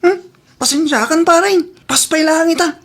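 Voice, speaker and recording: A woman's voice: extremely high pitch, normal speed, fairly bright tone. A woman with an Ilocano accent voicing a reluctant working man in a radio comedy drama. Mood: reluctant, grumbling.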